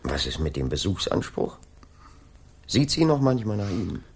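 An actor playing a politely persistent police inspector in a radio play, questioning insistently with drawn-out, swooping phrases and a creaky voice. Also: male voice, low voice, sing-song, heavily acted. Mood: needling. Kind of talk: 1980s German radio play acting.

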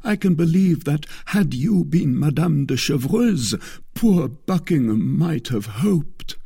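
A man's voice: on the high side, expressive, takes it slowly, creaky, warm tone, husky, no echo, studio quality, voice pushed up, exaggerated voice. A man voicing an ardent lovestruck nobleman, declaiming passionately in a dramatic audiobook reading. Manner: impassioned, declamatory.

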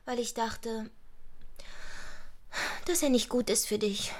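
An actress playing a cool, spiteful grown daughter in a radio drama, speaking in a controlled, cutting way. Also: female voice, on the high side, slow pace.

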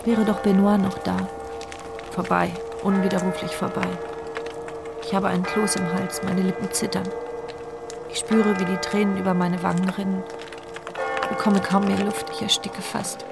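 An actress voicing a frightened woman in a wheelchair who narrates her own ordeal in a hushed, tearful first-person radio-play monologue. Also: mid-range voice, level tone, normal speed, gravelly, pauses often, lightly acted. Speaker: narrator and lead character. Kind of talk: radio drama inner monologue. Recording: radio play with loud music bed.

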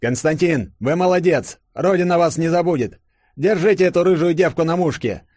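A man voices an imperious military officer villain in an audiobook drama. He is commanding, declamatory and patriotic, with his voice pitched up in sharp orders. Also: normal speed, no room sound, clean studio sound, close to an everyday voice. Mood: triumphant, commanding.

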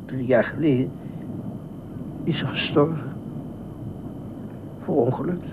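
An actor in a Dutch radio play as an anxious, obsessive widowed ex-fireman who speaks haltingly, repeats himself and nitpicks. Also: natural voice. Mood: grieving, subdued. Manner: halting, repetitive, pedantic, fretful. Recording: muffled narrow-band old radio recording, faint rumble.

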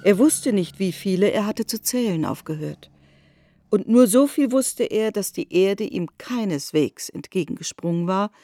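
A woman narrating a literary radio drama in a measured storytelling manner. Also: fairly low pitch, mellow.

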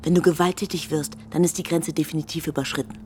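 A woman's voice: low-pitched, steady tone, fast, mellow, a little hiss or hum, natural voice. Actress as a scornful, accusing rival woman. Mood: accusing, bitter. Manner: cutting, sarcastic, emphatic. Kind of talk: German radio drama acting.

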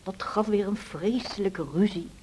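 An actress playing a loyal, anxious housekeeper who recounts past events in an earnest, hesitant way for a radio drama. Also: female voice, mid-range voice, fairly even intonation, moderate pace, a little mellow, husky, natural voice, rough recording. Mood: earnest, concerned. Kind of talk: radio drama narration, storytelling to a listener.

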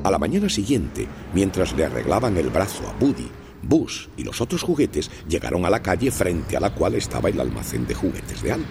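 A man narrating a children's storybook with expressive storytelling emphasis. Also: deep voice, normal speed, gravelly. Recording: music playing under the voice.

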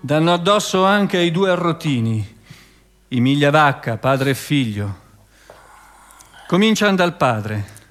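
A man's voice: fairly high pitch, big pitch swings, measured pace, long pauses, fairly bright tone. A man narrating a historical account as radio theatre, in a theatrical, emphatic storytelling way. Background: music.

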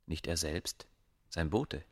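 Very deep-voiced, brooding male scholar. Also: slow pace, vocal fry, darkish tone, full character voice. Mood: calm. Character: proud, secretive male scholar of ancient Egypt, ambitious, curious. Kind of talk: radio play acting.

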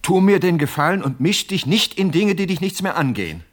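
An actor playing a pompous, miserly older widower in a radio play, self-justifying and fussily indignant, with a husky voice. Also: male voice, high voice, big pitch swings, a little fast. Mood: agitated, complaining.

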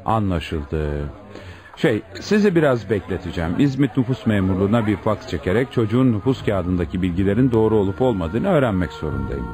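An actor playing a cautious, courteous registry office manager in a radio drama, formal and deliberate, often hemming. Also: male voice, on the low side, big pitch swings, darkish tone, soft background music. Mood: cautious, probing. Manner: hesitant hems, formal, deliberate.

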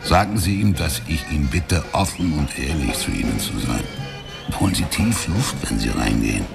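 A shady, talkative informant with a love of boxing. The voice is gravelly and rumbles extremely low. It is radio drama dialogue over loud music. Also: male voice, animated, normal speed, exaggerated voice. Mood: sly, confiding. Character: shady, chatty boxing fan tipping off a cop.

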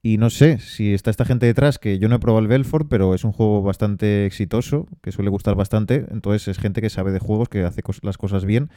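A man with a Spanish accent from Spain talks fast in a low, mellow voice, chatting casually about board game news on a podcast. His delivery is relaxed and rambling, with fillers, and the sound is smooth and dry on a close mic. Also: no room sound. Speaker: podcast co-host.

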